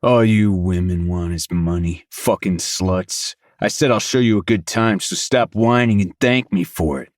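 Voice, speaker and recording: Man acting a deep-voiced, slurring, menacing drunk. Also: unhurried, hardly any pauses, dry recording, studio quality. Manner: slurred, growling, belligerent. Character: drunk, menacing middle-aged man, bitter and crude. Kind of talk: audiobook character dialogue.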